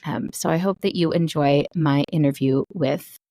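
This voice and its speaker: A woman's voice: sits low, normal speed, dark tone, no echo. A woman hosting a podcast, introducing her guest and chatting enthusiastically, with frequent "um" fillers. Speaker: podcast host. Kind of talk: podcast intro and interview chat.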